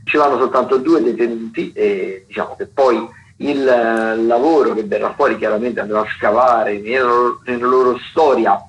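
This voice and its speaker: A man's voice: mid-range voice, normal speed, slight background noise, rough recording. A man working as a theatre critic gives casual radio commentary, improvising loosely with many hesitations. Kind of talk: casual spoken listings commentary.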